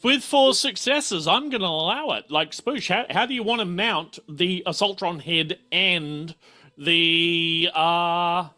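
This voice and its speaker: A man running a tabletop game as game master, casual and easygoing, talking in halting bursts. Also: high voice, sharp tone. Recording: faint music behind. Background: faint music.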